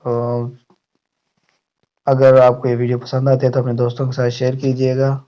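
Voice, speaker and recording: A man's voice: medium pitch, completely flat delivery, normal speed, warm tone. A man hosting a tech channel, narrating an app tutorial in an instructional way to his viewers. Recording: muffled, dull lo-fi voice-over.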